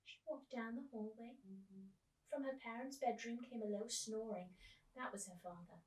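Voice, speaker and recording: A woman reading a children's story aloud as a teacher, with expressive narration. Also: a little high, normal speed. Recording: roomy echo, distant microphone.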